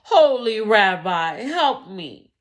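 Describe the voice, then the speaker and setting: A woman voicing a desperate, overwhelmed poor man in a storybook, pleading in drawn-out wails. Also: medium pitch, big pitch swings. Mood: frantic, desperate. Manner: shrieking, wailing, drawn-out pleas. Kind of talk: dramatic storybook read-aloud.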